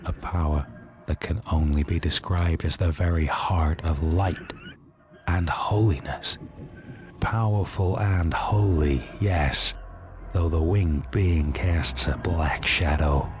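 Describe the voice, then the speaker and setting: A man's voice: sits low, fairly even intonation, slow pace, soft background music. An actor playing a cynical, hardened dead gunman in a video game, brooding and narrating in a grim, world-weary, sardonic way.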